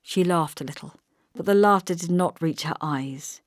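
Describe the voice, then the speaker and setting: A woman's voice: fairly low pitch, normal speed, no room sound, clean studio sound. A woman reading literary narration expressively as an audiobook narrator.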